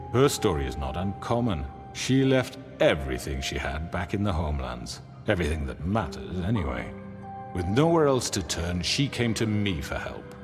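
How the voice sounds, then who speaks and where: An actor playing a smooth, manipulative crime boss in a video game, with measured, theatrical speechifying. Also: male voice, deep voice. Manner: measured, theatrical, silky self-righteous speechifying. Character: smooth, manipulative crime boss, self-justifying older man. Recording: reverberant hall sound under loud music.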